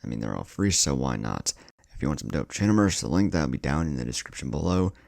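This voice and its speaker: A man's voice: a bass voice, expressive, fast, gravelly, darkish tone, dry recording, clean studio sound. A man narrating a scripted fan fiction story as a channel storyteller, with music playing behind him. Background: background music.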